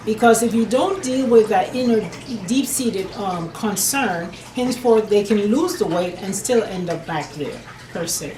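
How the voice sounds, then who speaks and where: A woman's voice: mid-range voice, measured pace, few pauses, echoey room, noisy background. A woman from Jamaica, an herbalist, answering interview questions earnestly, often saying "per se" and restarting her sentences. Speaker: herbalist and nutrition business owner.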